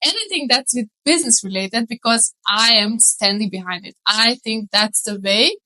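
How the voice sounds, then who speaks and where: A woman's voice: on the high side, moderate pace, pushed and bright, rough recording. A woman in her thirties with a strong Hungarian accent, chatting enthusiastically as an interview guest and laughing easily. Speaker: guest, marketing mentor. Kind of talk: casual interview chat.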